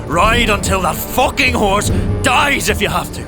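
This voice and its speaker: An actor playing a blunt, urgent rider barking orders in a dark fantasy audio drama. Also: male voice, sits high, animated, measured pace. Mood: urgent, alarmed. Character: urgent, foul-mouthed, commanding horse rider. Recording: music behind.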